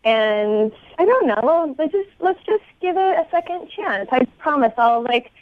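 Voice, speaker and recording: A woman's voice: sits high, expressive, a little slow, no echo, phone-quality sound. A woman on a radio phone segment, talking informally in an apologetic, pleading way. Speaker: radio show phone guest.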